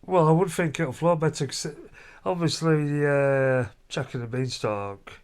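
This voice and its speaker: A man's voice: fairly high pitch, unhurried, smooth. Chatty man vlogging. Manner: chatty, seeking agreement with tag questions. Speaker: family vlog host. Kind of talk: casual chat reviewing a family outing. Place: hotel room.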